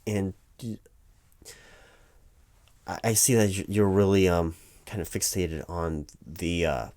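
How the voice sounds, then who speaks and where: A man's voice: low voice, steady tone, takes it slowly, a little mellow. A man playing a distracted, evasive corporate hiring manager in a one-sided comedic interview roleplay, stammering and rambling officiously. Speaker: performer playing a job interviewer.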